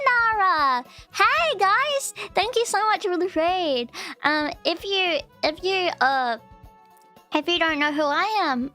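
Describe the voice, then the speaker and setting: A woman chatting casually on her live stream, with a cute, high voice, rambling and giggling through restarts and fillers. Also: sharp tone. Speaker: VTuber streamer. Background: faint background music.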